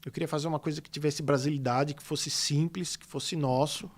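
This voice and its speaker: A man's voice: a little high, a little fast. A man from São Paulo, a guest illustrator telling stories in a casual podcast interview, rambling with lots of fillers. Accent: São Paulo Portuguese. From São Paulo state, Brazil. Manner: rambling, animated storytelling with many fillers.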